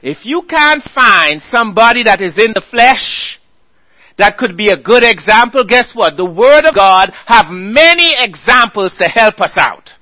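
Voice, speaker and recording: Man, sermon, slow unhurried delivery, bright tense tone. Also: sits high, big pitch swings. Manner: earnest exhorting, reads scripture aloud slowly. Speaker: preacher.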